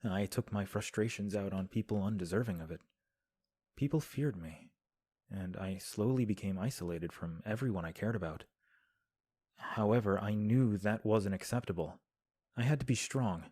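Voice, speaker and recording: An actor playing a composed young male prince who gives counsel in a measured, formal way, recorded at home. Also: lowish voice, a little mellow, breathy edge, no echo. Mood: calm. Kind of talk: audio drama acting.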